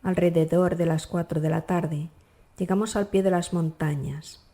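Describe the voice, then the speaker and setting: A woman narrating a dark classic novel as an audiobook, in a measured, formal storytelling manner. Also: lowish voice, fairly even intonation.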